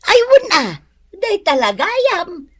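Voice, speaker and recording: A woman's voice: very high voice, wildly swooping pitch, unhurried, exaggerated voice. A woman playing a middle-aged, chatty, exclamatory mother with an Ilocano Filipino accent in a comedy radio drama. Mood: animated. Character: middle-aged gossipy mother, exasperated and animated.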